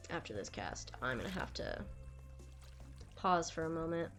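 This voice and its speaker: A young woman streaming a game, chatting casually with viewers while stuffed up and nasal from a cold. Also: deep voice. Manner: chatty, rambling, lively. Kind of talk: casual live-stream chat while gaming.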